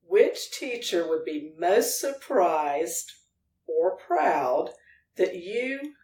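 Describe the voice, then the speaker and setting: A woman's voice: on the low side, sing-song, extremely slow, a little mellow. A woman hosting a podcast, asking interview questions in a casual conversational style. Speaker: guest host, interviewer. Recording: distant, echoey room, faint noise.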